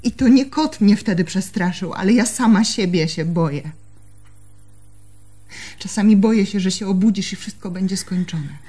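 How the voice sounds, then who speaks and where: A woman's voice: mid-range voice, moderate pace, dark tone, breathy edge, faint music behind, close to an everyday voice. An actress in a Polish radio play, playing an anxious, haunted young woman in love, with intimate, brooding delivery. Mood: anxious confession.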